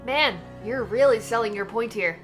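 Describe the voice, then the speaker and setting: A woman's voice: a little high, moderate pace, a bit bright, faint music behind, lightly acted. A woman in a fan dub voicing a guarded, defiant young alien girl with casual, sarcastic phrasing. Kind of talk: fan-dub voice acting of comic dialogue.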